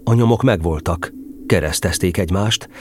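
A man narrating a children's story as a scripted, expressive storyteller. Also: sits low, noisy background.

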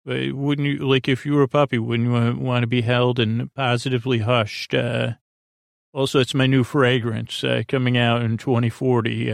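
A man with a creaky voice hosting a sleep podcast, delivering a meandering, hesitant bedtime monologue that drifts into tangents. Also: medium pitch, no echo, clean studio sound. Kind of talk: rambling bedtime monologue meant to lull listeners to sleep.

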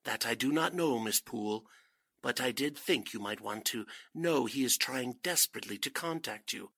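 Guy acting as a pompous phony spirit medium, old radio style, bright swooping voice, very theatrical. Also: mid-range voice, lively intonation, normal speed, breathy edge, no room sound, heavily acted. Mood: solemn, mystical. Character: suave fake spiritualist cult leader, grandiose and soothing. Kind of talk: radio drama acting in 1940s manner.

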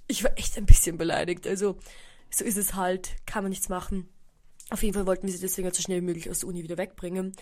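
A young woman with an Austrian German accent rattles along quickly in a casual solo podcast. Her nose is blocked from a cold, so her voice sounds congested, and she rambles chattily, dropping in English words here and there. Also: medium pitch, darkish tone. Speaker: podcast host. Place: indoors at home.

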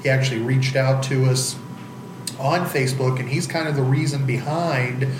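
A man's voice: medium pitch, steady tone, moderate pace, reverberant, noisy background. A congested man co-hosting a casual podcast in a basement, joking and laughing often. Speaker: podcast co-host. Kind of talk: casual chat.

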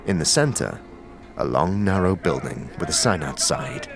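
A low-pitched man narrates a fantasy audio drama. His scripted delivery is measured and descriptive. Music plays underneath his voice. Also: expressive.

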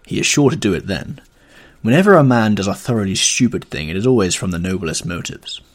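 A man voicing a languid, witty aristocratic gentleman, with a drawling manner, in a storybook reading. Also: lowish voice, very animated, brisk. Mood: amused.

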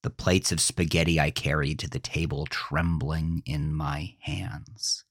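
A man reading a scripted horror story as narrator, in a measured, dramatic storytelling manner. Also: a bass voice, steady tone, a bit bright, no echo, clean studio sound.

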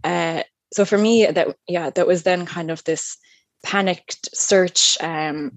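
A woman in her thirties from upstate New York with an American accent, telling her personal story as a podcast guest, thoughtful and trailing off softly. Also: on the low side, fairly even intonation, slow pace, dry recording. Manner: thoughtful, many fillers, soft trailing endings.

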